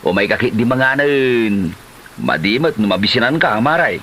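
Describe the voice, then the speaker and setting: A man with an Ilocano accent playing a casual village neighbour in a comedy drama, expressive and insistent. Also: medium pitch, normal speed, slight background noise, natural voice. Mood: friendly, insistent.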